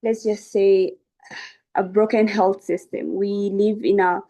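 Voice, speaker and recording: A woman's voice: mid-range voice, steady tone, takes it slowly, dark tone, muffled recording. A young woman giving an informal, encouraging educational webinar talk as a student speaker. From South Sudan. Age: young adult.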